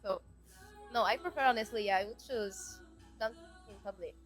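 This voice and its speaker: A woman's voice: fairly high pitch, lively intonation, normal speed, soft background music, low-quality recording. A woman chatting casually as a podcast guest, frank and emphatic in the way she talks. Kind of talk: casual chat.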